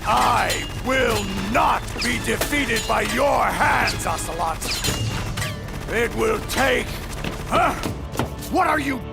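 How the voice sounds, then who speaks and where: Game villain: proud, outraged commander, heavy gravel in a raised voice, grandiose and declamatory. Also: male voice, very high voice, expressive, slow, loud music behind the voice, heavily acted. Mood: defiant. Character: commanding, vengeful male warlord, proud and outraged. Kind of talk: dramatic video game voice acting.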